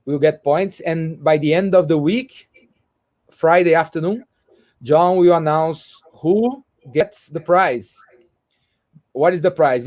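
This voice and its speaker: Slow-speaking man, halting English. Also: a little high, a little mellow. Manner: halting, repeats words, self-correcting. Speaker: co-host and English learner. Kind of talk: casual livestream chat.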